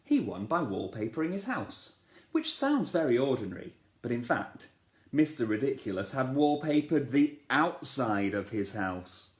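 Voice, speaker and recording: A man, a teacher, reading a children's storybook aloud in a playful, expressive way, asking the listeners questions. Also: mid-range voice, a little slow. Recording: echoey room.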